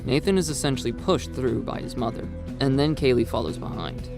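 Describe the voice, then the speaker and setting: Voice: medium pitch, expressive, fairly quick. A narrator reading a dramatised first-person story in a scripted style. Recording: dry, music behind. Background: background music.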